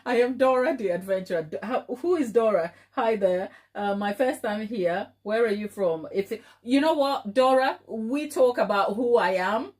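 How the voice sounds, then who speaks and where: A woman's voice: fairly high pitch, animated, moderate pace, hardly any pauses, a little mellow. A woman with a Ghanaian accent hosting a casual live chat, affectionate and playful, often repeating phrases for emphasis. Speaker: baking livestream host.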